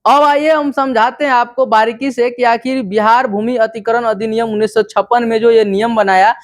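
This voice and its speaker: Casting: Bihari-accented news explainer, medium pitch, dry recording, instructive and informal, talks straight to the listener. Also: fairly even intonation, moderate pace, dry recording. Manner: didactic, repeatedly addressing the listener. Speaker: presenter explaining government news. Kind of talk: informal news explainer, reading out clippings and commenting.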